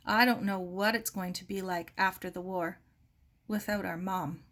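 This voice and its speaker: A woman's voice: medium pitch, steady tone, moderate pace, a bit bright, lightly acted. A woman voicing a wistful, reassuring young girl in a storybook, earnest and plain-spoken. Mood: sad. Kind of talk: storybook character dialogue.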